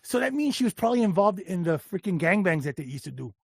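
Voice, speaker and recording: A snarky podcast co-host with a high voice and a gravelly edge, pushing the talk along impatiently. His pitch swings a lot and the sound is dry and close. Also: very animated, quick pace, dry recording. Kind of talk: casual banter.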